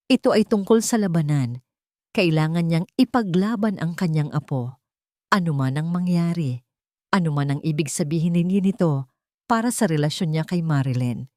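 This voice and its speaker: A woman's voice: on the low side, darkish tone, no room sound, studio quality. A woman narrating a dramatic scripted story.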